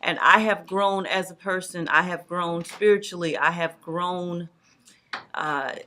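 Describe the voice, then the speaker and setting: Bright, forceful woman in her forties testifying. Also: fairly low pitch, fairly even intonation, a little slow, slight background noise. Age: 40s. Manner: emphatic, repetitive religious phrasing. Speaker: guest evangelist and student. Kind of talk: personal testimony.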